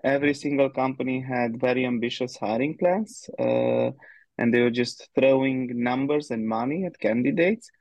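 A man's voice: medium pitch, normal speed, vocal fry, darkish tone, no echo, muffled recording. A man from Romania, answering interview questions in a hesitant, thinking-aloud way with many fillers. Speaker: guest, head of people.

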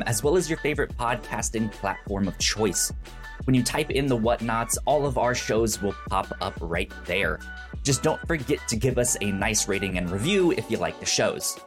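Male podcast co-host, lowish voice, casual, stammers on restarts, light music bed.

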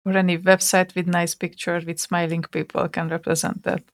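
A woman's voice: lowish voice, level tone, moderate pace, vocal fry. A woman with a Hungarian accent, co-hosting a podcast from home, chiming in easily and asking her co-host questions. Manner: easygoing, chiming in with short agreements. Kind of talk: casual conversation.

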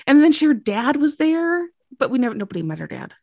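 A woman's voice: high voice, sing-song, a little fast, darkish tone, no room sound. A woman co-hosting a podcast, telling stories in casual chat, gushing, laughing a lot and swearing freely. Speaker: podcast co-host.

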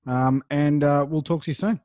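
A man's voice: fairly high pitch, fairly even intonation, normal speed, darkish tone, dry recording. A man hosting a podcast, easygoing and affirming, telling stories in casual chat on a close studio mic. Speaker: podcast host. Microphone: studio microphone close up.

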